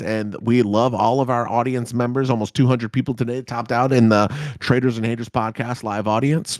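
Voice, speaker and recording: A man in his thirties co-hosting a podcast, rambling loudly and swearing through casual banter. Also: lowish voice, no echo. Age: 30s. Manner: loud, rambling, swearing, jokey. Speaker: podcast co-host.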